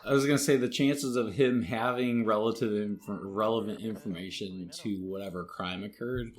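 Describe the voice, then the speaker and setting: A man's voice: fairly low pitch, steady tone, normal speed. A man appearing as a podcast guest, trading dry jokes in casual chat. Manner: wry, joking.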